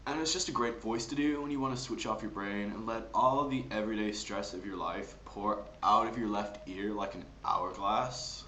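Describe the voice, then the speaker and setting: English male amateur voice actor, casual chat, lowish pitch, roomy home recording. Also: normal speed, few pauses, sharp tone, slight background noise. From England. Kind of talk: casual chat introducing accent impressions.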